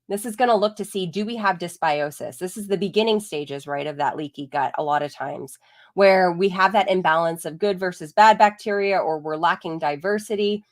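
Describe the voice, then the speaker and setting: Woman, 30s, holistic vet, stuffy from a cold, casual explainer tone. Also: fairly low pitch. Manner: chatty, explanatory, frequent "like" and "right?". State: congested from a chest cold. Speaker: holistic veterinarian. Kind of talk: live-streamed educational talk.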